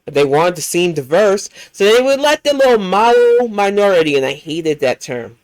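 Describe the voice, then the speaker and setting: A woman's voice: mid-range voice, sing-song, moderate pace, darkish tone, no room sound, rough recording. An American woman hosting a livestream, reacting to clips in a blunt, profane, heated rant. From United States. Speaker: livestream host.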